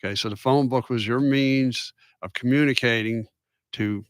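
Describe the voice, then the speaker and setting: A man's voice: medium pitch, normal speed, clear, resonant tone, dry recording, clean studio sound. Matter-of-fact male radio guest. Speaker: guest business owner. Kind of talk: casual radio interview.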